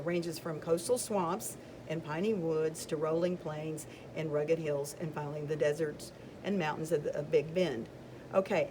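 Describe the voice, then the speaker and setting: An older woman chatting casually as she shows books, rambling a little and reading passages aloud with emphasis. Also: low voice. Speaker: video creator showing books. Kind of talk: casual show-and-tell of books. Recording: noticeable background noise.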